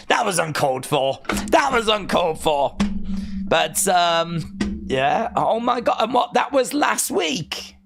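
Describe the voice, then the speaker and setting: A man's voice: high-pitched, gravelly, fairly bright tone. A man hosting a casual wrestling watch-along stream, reading viewer messages aloud and teasing them playfully. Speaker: live-stream host reading chat.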